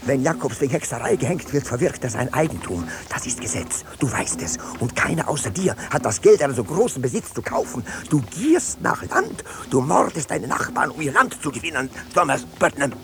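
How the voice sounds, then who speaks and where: Furious farmer, wildly animated voice. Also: male voice, medium pitch, fairly quick, hardly any pauses. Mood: bitter, outraged. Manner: emphatic, accusing, declamatory. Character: furious, stubborn farmer making bitter accusations. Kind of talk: 1950s German radio drama acting. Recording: noisy old radio drama recording.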